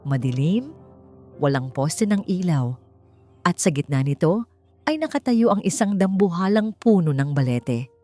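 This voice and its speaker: A woman with a Filipino accent narrating a story in a measured, dramatic, suspenseful audiobook style. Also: on the low side, sing-song, warm tone, soft background music.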